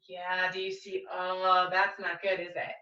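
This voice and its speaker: Female storytime reader, bright and expressive, talks to kids directly, recorded in a roomy space. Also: on the low side, a little slow. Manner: expressive, asks child listeners questions. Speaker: children's storytime reader, teacher. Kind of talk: animated picture-book read-aloud for children. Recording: roomy, slightly dull, distant microphone.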